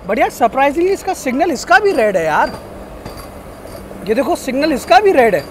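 A young man chatting excitedly to viewers while filming himself on a train journey, recorded on a phone held at arm's length. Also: very high voice, moderate pace, a little hiss or hum. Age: young adult. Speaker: train travel vlogger. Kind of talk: casual travel vlog. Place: train carriage and outdoor station platform.

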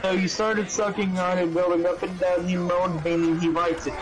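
A man with a high voice reads aloud in a mock-dramatic style over game voice chat. He is halting and stumbles over his words, and music plays behind him. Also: little pitch movement, low-quality recording. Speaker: gamer reading aloud in voice chat. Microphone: online game voice chat.

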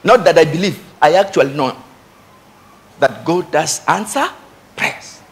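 High-pitched Kenyan preacher, emphatic man. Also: lively intonation, a little slow. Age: middle-aged. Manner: emphatic, repeats key phrases for effect. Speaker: pastor. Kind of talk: animated church sermon with Swahili asides. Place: church stage before a congregation. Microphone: handheld microphone.

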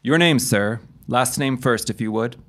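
A man's voice: mid-range voice, a little slow, fairly bright tone, close to an everyday voice. Man acting clipped, formal police detective. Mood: brisk, wry. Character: brisk, wry male police detective questioning a witness. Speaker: voice actor in audio drama. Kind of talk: period audio drama acting.

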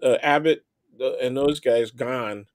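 A man's voice: mid-range voice, unhurried, no echo, clean studio sound. An older American man bantering casually as a talk show guest, blunt, salty and jokey.

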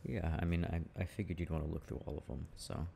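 A man's voice: low-pitched, fairly even intonation, fast, creaky, mellow, slight background noise. A young man in an intimate ASMR boyfriend roleplay, chatting casually and affectionately with frequent chuckles. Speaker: boyfriend roleplay audio performer.

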